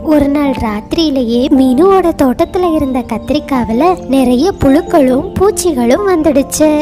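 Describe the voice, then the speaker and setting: A woman narrating a children's cartoon story in a steady storytelling voice. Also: sits high, normal speed, music in the background.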